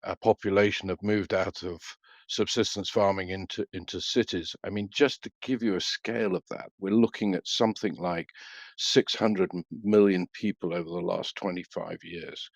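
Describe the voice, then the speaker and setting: A middle-aged man, an analyst answering interview questions in a measured, halting way with many fillers. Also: sits low, dry recording, lo-fi sound. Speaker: freight market analyst guest.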